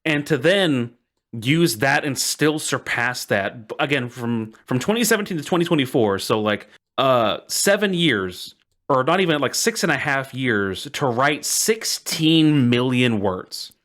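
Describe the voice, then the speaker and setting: A podcast host with a lively, wide-swinging pitch. The delivery is casual and amused, moving between reading aloud and halting, emphatic explanation.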